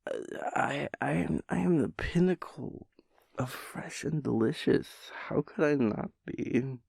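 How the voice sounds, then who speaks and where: Guy doing a sleepy goofy boyfriend roleplay, gravelly n breathy, slow theatrical rambling, close dry sound. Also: on the high side, dry recording, exaggerated voice. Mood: mock offended. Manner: mock-dramatic, giggly, flowery wording, swinging into hushed breathy asides. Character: playful sleepy chaotic boyfriend, dramatic and silly.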